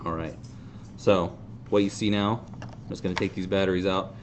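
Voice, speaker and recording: A man in his thirties casually hosting a hands-on how-to demonstration in a deadpan, self-deprecating way. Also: sits low, moderate pace. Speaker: host of a fan group's how-to video. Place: indoor tabletop video shoot. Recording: clear background noise.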